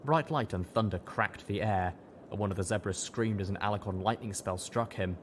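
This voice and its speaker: A man with a fairly low voice reading a fan-fiction audiobook in first person, steady and dramatic. A faint hiss of noise sits under the reading. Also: fairly quick. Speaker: audiobook reader.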